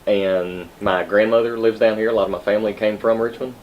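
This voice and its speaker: A man's voice: fairly low pitch, fairly even intonation, a little fast. A man, a new police officer giving conversational answers as an interview guest. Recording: dull audio, background noise.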